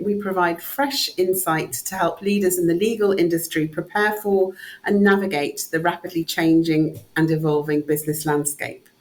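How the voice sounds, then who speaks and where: A woman's voice: fairly low pitch, rough recording. A woman hosting a podcast, reading a polished introduction and asking interview questions. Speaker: podcast host.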